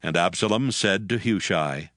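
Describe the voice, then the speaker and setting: A man's voice: low voice, moderate pace, fairly bright tone, no echo, studio quality. A man narrating scripture from a script. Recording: music in background.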